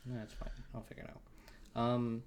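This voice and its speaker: A man's voice: mid-range voice, completely flat delivery, normal speed, a little mellow. A man co-hosting a gaming video, talking casually in a laid-back, somewhat hesitant way. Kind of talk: casual chat, game commentary. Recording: faint background noise.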